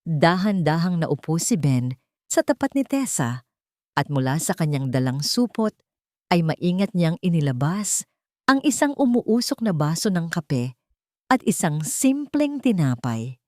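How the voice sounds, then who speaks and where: Female storyteller, slightly low pitch, dry close sound, measured literary delivery, scripted dramatic narration. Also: big pitch swings, darkish tone, no echo.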